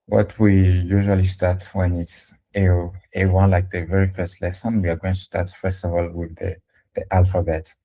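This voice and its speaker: A man with a very low, mellow voice teaches a beginner over a video call. He speaks slowly and patiently, pausing on fillers and restarting his sentences. The sound is that of an online call. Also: level tone, poor audio. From Congo. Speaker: French teacher. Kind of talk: online one-to-one language lesson.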